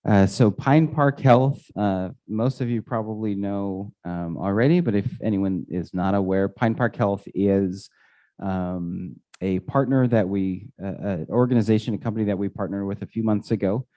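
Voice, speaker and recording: A man's voice: fairly low pitch, moderate pace, no echo. A man answering residents' questions at a community meeting, hesitant and full of fillers and restarts. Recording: dull, dry lo-fi audio.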